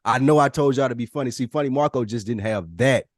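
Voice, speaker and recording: A man's voice: mid-range voice, fast talker, no room sound. Emphatic man talking slangy, close and dry. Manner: emphatic, slangy, profane, repeats phrases for emphasis. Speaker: podcast panelist. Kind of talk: opinionated casual discussion.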